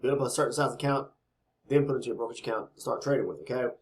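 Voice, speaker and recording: A man with a slightly raspy voice, talking casually and rambling as he explains trading, with many repeated words and frequent "okay?" tags. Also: mid-range voice, very fast. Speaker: trading educator.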